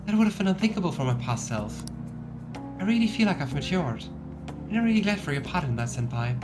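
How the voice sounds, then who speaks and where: A woman's voice: on the low side, very animated, fast talker. Lady doing a shy polite game schoolgirl, earnest and kinda hesitant, music behind her. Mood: hopeful, polite. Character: shy, earnest teenage girl confessing her love.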